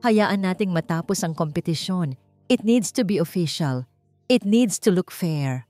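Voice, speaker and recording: A woman's voice: on the low side, lively intonation, a little slow, warm tone, dry recording. Woman doing an uneasy controlling boss in a love story, guarded but firm, light music behind. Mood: anxious, guarded. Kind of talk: acted dialogue in narrated romance story. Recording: music under the voice.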